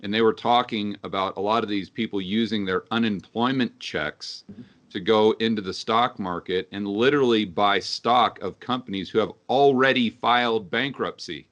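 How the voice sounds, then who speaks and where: Guy hosting an interview show, bright forceful voice, eager questions. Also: on the low side, normal speed. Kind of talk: casual interview talk.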